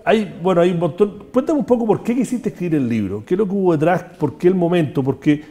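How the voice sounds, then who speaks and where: Fast-talking Chilean man hosting a chat. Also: high voice, expressive, mellow. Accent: Chilean Spanish. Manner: fast, opinionated, many restarts. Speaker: host. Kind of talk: casual talk-show conversation.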